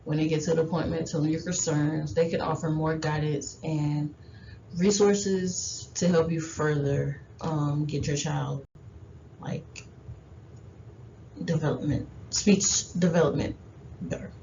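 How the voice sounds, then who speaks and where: Low, slow-talking woman with many fillers. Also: steady tone, gravelly, dark tone. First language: Haitian Creole. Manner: rambling, many fillers and restarts. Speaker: presenter giving parenting advice. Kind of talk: informal class presentation. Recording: dull lo-fi recording with faint noise.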